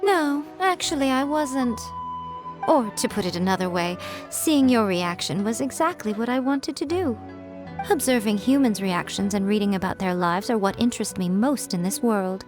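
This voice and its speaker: A woman playing a self-assured, teasing fox spirit shrine maiden in a video game, with playful, coy and deliberate phrasing. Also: mid-range voice, lively intonation, lightly acted. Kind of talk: polished video game character acting. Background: music.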